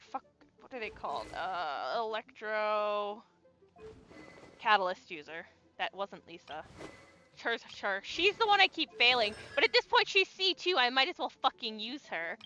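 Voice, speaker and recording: A woman's voice: medium pitch, sharp tone. A young adult woman streaming a game, chatting casually and rambling, swearing freely as she goes. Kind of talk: casual gaming chat.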